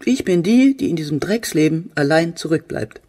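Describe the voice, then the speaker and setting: An actress playing a middle-aged, cold-hearted, bitter mother in a radio play, with a cutting, scornful manner and a husky low voice. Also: animated, normal speed, mellow.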